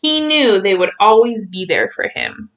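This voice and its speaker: A woman reading a children's storybook aloud in an expressive way, stretching out key words for emphasis. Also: mid-range voice, measured pace.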